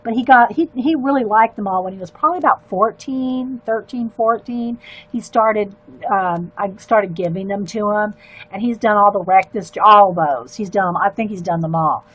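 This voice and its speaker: Loud chatty older woman. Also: mid-range voice, normal speed, highs cut off. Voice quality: loud, carrying voice. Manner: rambling, chatty asides to viewers. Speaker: livestream artist. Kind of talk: casual chat while coloring.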